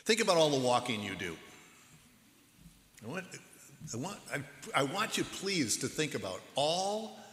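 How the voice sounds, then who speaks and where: A man preaching a sermon as pastor in a church, with a measured, earnest delivery. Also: a little high, sing-song, a bit bright. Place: church sanctuary. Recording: echoey hall with long reverb.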